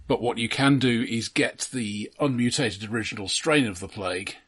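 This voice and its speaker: A man discusses films as a podcast co-host in a mid-pitched voice. His delivery is considered and halting, often repeating a word before he moves on, and the tone is that of casual analysis. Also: a bit bright, slightly rough.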